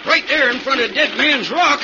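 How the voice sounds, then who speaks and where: An actor playing a shifty, pushy backwoods fur dealer in an old-time radio drama. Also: male voice, very high voice, moderate pace. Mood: alarmed. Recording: muffled old radio with light hiss.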